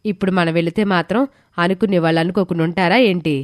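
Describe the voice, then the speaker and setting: A woman voicing a suspicious, sharp-tongued married woman in an animated moral story. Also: on the low side, quick pace, no echo, studio quality, natural voice. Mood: suspicious, grudging.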